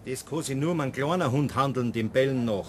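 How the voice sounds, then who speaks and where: A man's voice: medium pitch, fast, a little mellow, a little hiss or hum, natural voice. A man playing a decisive know-it-all bystander in a German radio play. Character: opinionated, decisive male bystander on the street.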